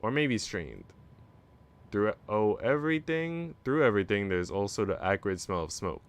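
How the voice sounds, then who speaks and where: A man's voice: fairly low pitch, animated, moderate pace, clear, resonant tone. A man reading a visual novel aloud as a streamer, narrating the story in the first person.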